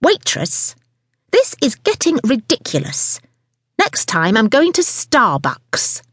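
Woman playing a haughty, theatrical female customer, high-pitched. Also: wildly swooping pitch, slow, a bit bright, breathy edge, no room sound, studio quality, heavily acted. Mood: irate, snapping. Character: irate, haughty female café customer turned gushing fan.

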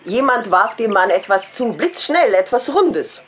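A woman's voice: mid-range voice, sing-song, measured pace, a little hiss or hum. A woman playing an indignant, stubborn eyewitness in a German audio drama, speaking emphatically and easily offended. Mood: earnest. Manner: emphatic, huffy.

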